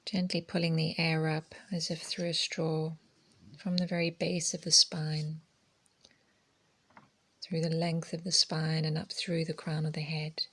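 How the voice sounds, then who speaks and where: A woman teaching meditation in a gentle, calming, soft-spoken way, her phrases often trailing into breathy near-whispers. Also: low-pitched, unhurried.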